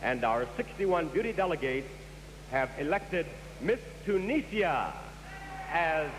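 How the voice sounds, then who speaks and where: A man's voice: fairly high pitch, big pitch swings, takes it slowly, a little hiss or hum. A man announcing formally as host of a live televised beauty pageant in a large auditorium. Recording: echoey 1960s auditorium broadcast, loud music behind. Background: loud music.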